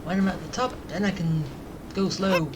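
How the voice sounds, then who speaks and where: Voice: mid-range voice, steady tone, moderate pace. Gamer, casual gameplay commentary, informal short reactions, noticeable background noise.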